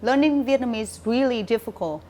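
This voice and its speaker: A woman interviewing a guest with friendly, casual questions, quick to laugh. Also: a little high, lively intonation, dark tone, a little hiss or hum.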